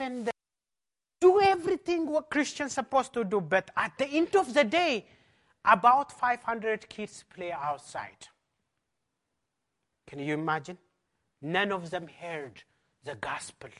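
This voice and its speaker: A man's voice: high voice, fairly bright tone. A man pastor from Ethiopia with an Ethiopian accent, giving an emphatic personal testimony as a conference talk in non-native English.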